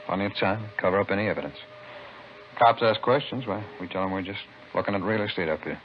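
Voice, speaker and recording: Guy playing wary american henchman, talks quick, muffled old radio crime show. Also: low voice, lightly acted. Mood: confident. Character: brisk, wary male crook, the dealer's sidekick. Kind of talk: 1950s radio drama acting. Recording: muffled, hissy vintage radio recording.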